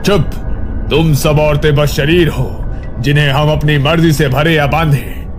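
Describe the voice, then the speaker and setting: A male narrator plays a tyrannical village priest in a horror tale. His voice is raised well up and loud, commanding and menacing, full of cruel authority. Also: on the high side, fairly even intonation, a little slow, faint music behind, pitched above the performer's own voice, natural voice. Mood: angry, commanding. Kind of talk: dramatic Hindi horror story acting.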